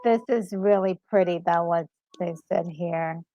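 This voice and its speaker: A woman's voice: fairly low pitch, measured pace, dark tone, dull audio. A woman reacting casually and emotionally to a game, with exclamatory delivery. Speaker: gaming co-host. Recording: music behind the voice. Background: game music.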